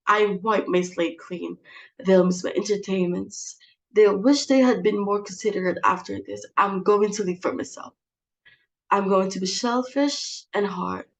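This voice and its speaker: A woman's voice: mid-range voice, lightly acted, rough recording. A woman acting a domineering, melodramatic middle-aged society hostess in a dramatic play reading, theatrical and exasperated.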